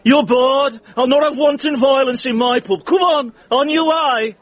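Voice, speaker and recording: A man's voice: very high-pitched, unhurried, no echo. A man playing a stern, fed-up pub landlord in a comedy audio drama, scolding and commanding. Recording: dull, close dry recording.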